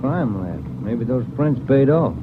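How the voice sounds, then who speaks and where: An actor playing an easygoing, dryly grumbling American detective's partner in a 1940s radio drama. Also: male voice, mid-range voice, expressive, measured pace, natural voice. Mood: wry, casual. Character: easygoing, wry male police detective partner. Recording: thin phone-like vintage recording, loud rain and car noise.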